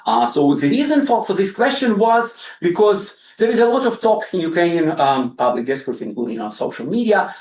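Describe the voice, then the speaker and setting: A man giving an academic lecture as guest speaker, halting, with many fillers and repeated words. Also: mid-range voice. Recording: roomy, distant lecture-hall sound.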